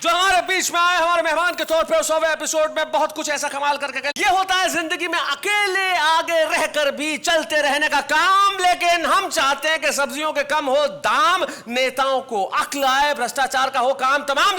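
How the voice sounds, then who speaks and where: A man's voice: extremely high pitch, fairly even intonation, moderate pace, hardly any pauses, sharp tone. A man hosting a comedy poetry show, announcing guests in rhyming, declamatory lines. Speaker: show host.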